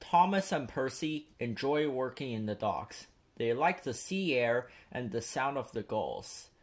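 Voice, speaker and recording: A man reading a children's picture book aloud as a storyteller, expressive and deliberate. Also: mid-range voice.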